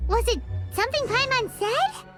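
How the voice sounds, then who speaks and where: A woman voicing a small, excitable, blunt childlike fairy companion in a video game, with a squeaky voice. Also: moderate pace, exaggerated voice. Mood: exasperated. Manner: refers to itself in third person, exclamatory. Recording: music behind the voice. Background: game music.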